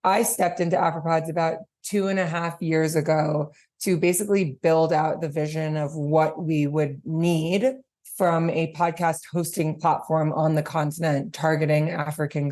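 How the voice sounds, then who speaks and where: A woman's voice: low-pitched, a little mellow. A woman giving conversational answers as an interview guest. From New York, United States. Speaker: guest, podcast platform chief executive.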